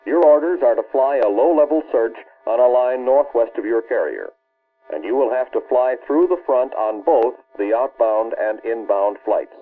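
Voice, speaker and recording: An American man reads scripted instructional narration for an early 1960s military film in a formal, authoritative, measured way. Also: medium pitch. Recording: muffled, band-limited old film soundtrack.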